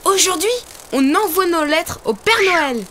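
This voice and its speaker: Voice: very high-pitched, expressive, unhurried, background noise. An actor playing a kind, reassuring young cartoon hero with a childlike voice in a preschool cartoon. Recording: music behind the voice.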